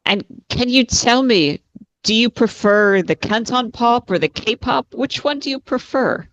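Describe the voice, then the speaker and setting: A woman's voice: fairly high pitch, expressive, unhurried, fairly bright tone, no room sound, dull audio. A woman acting as examiner in a mock speaking exam, prompting the candidate with short follow-up questions.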